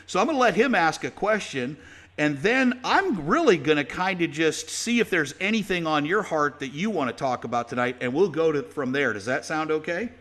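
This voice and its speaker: Man, talk-radio host, bright voice, slightly high, folksy and chatty. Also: animated, moderate pace. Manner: folksy and chatty, with fillers and restarts. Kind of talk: casual talk-radio interview.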